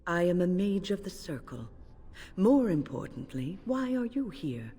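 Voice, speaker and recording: An actress playing an older woman mage in a video game, stern and composed, with measured, formal, grave speech. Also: lowish voice, big pitch swings, warm tone, breathy edge, full character voice. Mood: grave, questioning. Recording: long hall reverb on voice.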